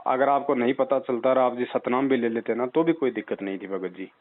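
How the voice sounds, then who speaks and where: Over a narrow, tinny phone line, a man speaks at a medium pitch. He counsels a caller like a preacher, insistent and persuasive. He drives his points home with repeated rhetorical questions. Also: fairly quick. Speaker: religious devotee counselling a caller. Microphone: telephone. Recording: tinny band-limited phone call recording.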